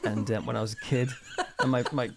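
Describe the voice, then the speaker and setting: A middle-aged British man chatting casually as an interview guest, telling anecdotes in an informal, animated way. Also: mid-range voice, a little fast, vocal fry, heavy background noise. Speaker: interview guest, author and reverend.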